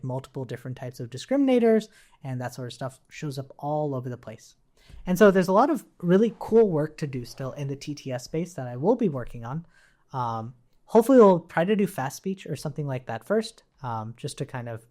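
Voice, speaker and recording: A woman's voice: low voice, a little mellow. A woman giving a casual technical screencast tutorial as an instructor, informally checking in with the listener as she explains. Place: indoors at a computer.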